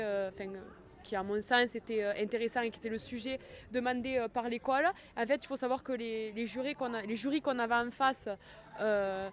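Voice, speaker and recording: Young woman interviewee, Ariège accent, a bit high-pitched, halting and full of restarts. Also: slight background noise. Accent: Ariège, southern French. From Ariège, France. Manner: hesitant, many fillers and restarts. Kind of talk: interview answers.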